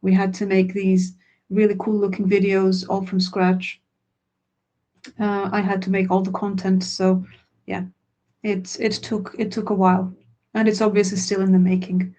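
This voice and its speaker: A woman's voice: medium pitch, little pitch movement, normal speed, warm tone. A woman whose first language is Croatian, a language teacher answering questions in a webinar in an earnest, plain way. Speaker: language teacher and product founder.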